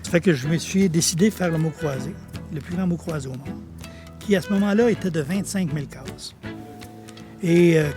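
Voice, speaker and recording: A man, telling stories in a chatty interview with frequent fillers. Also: on the high side. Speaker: pharmacy manager. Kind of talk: casual interview answers. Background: music.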